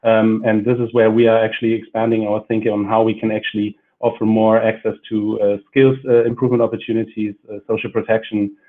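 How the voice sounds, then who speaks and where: A man introducing himself on an online panel over a video call, steady and measured, with frequent "uh" fillers. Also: fairly low pitch. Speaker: panelist, public policy lead. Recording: tinny phone-like call line.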